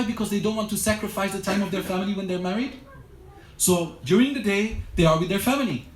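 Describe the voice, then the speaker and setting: A man's voice: sits high, slight background noise. A man giving a religious lecture to a study circle, earnest and exhortative, often restarting his sentences. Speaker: religious teacher. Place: lecture room. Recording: roomy echo.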